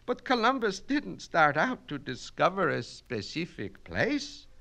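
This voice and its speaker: A man's voice: sits high, sing-song, slow pace, a bit bright, clean tone, voice pushed up, full character voice. A man voicing a timid young woman student who pleads hesitantly. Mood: pleading. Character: timid young female teacher's pet. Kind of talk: storybook reading.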